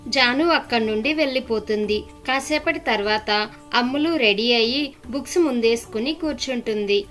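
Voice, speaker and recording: A woman's voice: a little high, fairly quick, a bit bright, soft background music. A woman reading scripted narration as a storyteller.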